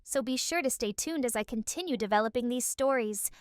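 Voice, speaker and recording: A woman's voice: a little high, brisk, clean tone, no room sound, studio quality. A woman reading a scripted promotional outro as the host of an audio series. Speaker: host announcing upcoming shows.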